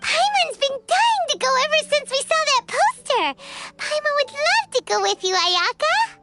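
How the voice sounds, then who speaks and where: A woman voicing a tiny, excitable, childlike fairy companion in a video game, with a squeaky, perky delivery. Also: moderate pace, loud music behind the voice. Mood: excited, eager. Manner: perky, refers to self in third person. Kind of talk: video game character acting.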